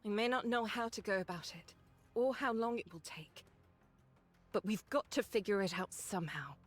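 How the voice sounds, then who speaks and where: Game role, actress as resolute woman soldier; medium register, dry candour, steady loyal temper. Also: medium pitch, normal speed, faint music behind, lightly acted. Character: resolute, loyal woman squad fighter, wryly candid. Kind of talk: scripted game voice acting.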